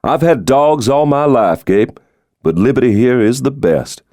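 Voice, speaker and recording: A man's voice: medium pitch, expressive, unhurried, dark tone, no room sound, studio quality, pitched above the performer's own voice, natural voice. A man voicing a breezy, folksy middle-aged American President who jokes heartily, in an audiobook. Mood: friendly, boastful. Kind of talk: audiobook character dialogue.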